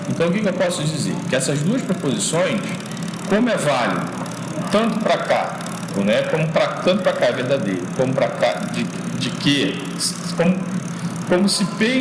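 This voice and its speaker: Guy teaching logic, lively up-and-down voice, keeps going "né?", echoey room from far off, traffic behind. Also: mid-range voice. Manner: explaining slowly, frequent checks like "né?" and "tá?"; restarts and repeats words while thinking aloud. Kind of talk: informal lecture on logic. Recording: echoey classroom from far off with street noise.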